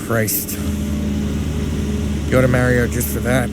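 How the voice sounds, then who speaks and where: A man voicing a brash, crude-mouthed mobster in a game cutscene. He jokes in tough street slang at a middle pitch. Loud music plays behind him. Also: level tone, measured pace, natural voice. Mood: teasing. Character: brash wisecracking male mobster, middle-aged. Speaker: gangster. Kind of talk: video game cutscene acting.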